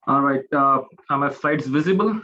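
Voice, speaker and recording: A man's voice: medium pitch, normal speed, bright, forceful tone. A man in his thirties with an Indian English accent, giving an informal online lecture with many fillers and restarts. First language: Hindi or Punjabi. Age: 30s. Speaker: guest lecturer. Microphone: video call. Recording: dull lo-fi video call audio.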